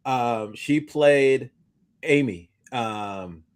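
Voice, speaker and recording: A man in casual podcast conversation with a mid-pitched voice. He talks in an animated, rambling way, often restarting and repeating words as he tells a story. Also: very slow, clean tone. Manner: animated, rambling, stumbling over repeated starts. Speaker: podcast co-host. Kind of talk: casual chat, recounting anecdotes.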